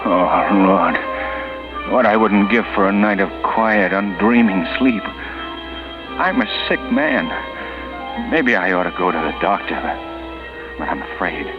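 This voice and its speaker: A man's voice: sits low, animated, slow, long pauses. An actor playing a guilt-ridden, sleepless murderer in a 1940s radio drama, anguished and hesitant in his delivery. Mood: tormented, weary. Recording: muffled old radio broadcast, loud music.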